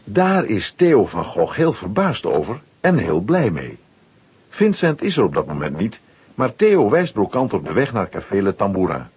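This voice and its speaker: A male narrator tells a children's story with a very husky, breathy voice. His pitch is lively and mid-range, and the recording sounds slightly dull. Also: moderate pace. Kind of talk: scripted storytelling for a children's audio play.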